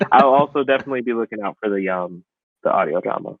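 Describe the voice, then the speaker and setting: A young man chats casually as a podcast host, conversational and given to wry asides. His voice sits at an average pitch. The recording is close and dry, with a markedly muffled, narrow sound. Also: big pitch swings, a little fast, dry recording. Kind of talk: casual chat about pop culture.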